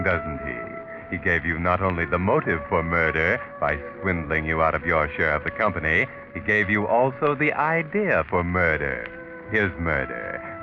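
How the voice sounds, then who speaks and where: An actor playing a sardonic, ominous male narrator of a 1940s radio mystery, taunting and insinuating. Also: sits low. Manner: taunting, insinuating, deliberate rhetorical questions. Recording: muffled 1940s radio recording with music.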